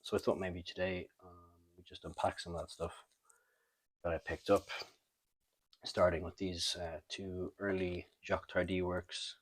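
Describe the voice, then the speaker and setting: A man hosting a comic book review in a casual, unscripted way, thinking aloud with halting, trailing pauses. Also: low voice, little pitch movement. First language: not French. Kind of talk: casual unscripted show-and-tell commentary.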